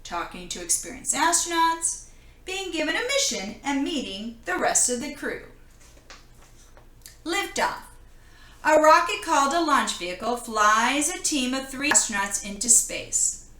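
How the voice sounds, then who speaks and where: A woman reading a children's picture book aloud in an expressive manner, recorded in an echoing room. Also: high voice, measured pace. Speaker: storybook reader. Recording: roomy, echoing indoor sound.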